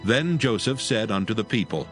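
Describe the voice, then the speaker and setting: Guy narrating a bible story, lowish lively voice, soft music underneath. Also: normal speed. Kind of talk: scripted dramatic scripture narration. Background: faint music.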